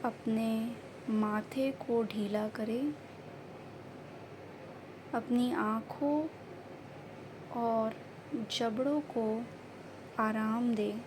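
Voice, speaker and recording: A woman guiding a meditation in a gentle, soothing manner, with short unhurried phrases of instruction. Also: fairly high pitch, fairly even intonation. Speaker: meditation guide. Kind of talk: guided meditation narration. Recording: faint background noise and ambience.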